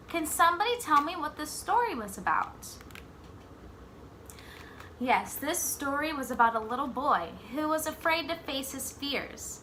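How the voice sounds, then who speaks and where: A woman reading a picture book aloud like a teacher, expressively, pausing to put simple questions to young children. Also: sits high, pushed and bright, a little hiss or hum. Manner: pauses to ask simple questions and echo the answers.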